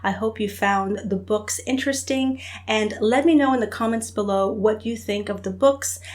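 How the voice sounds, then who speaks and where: Woman, middle-pitched voice, casual channel host, chatty, lots of ums and fillers. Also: moderate pace. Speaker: host of a spiritual book channel. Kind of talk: casual chat to camera.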